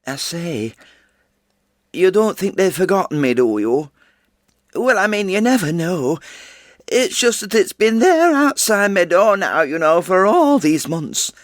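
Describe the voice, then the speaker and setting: An actor playing a chatty, fretful elderly widow who rambles and interrupts herself. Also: male voice, sits high, big pitch swings, measured pace, no echo, studio quality, voice pushed up. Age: older. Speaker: actor performing a character monologue. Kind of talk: stage character monologue.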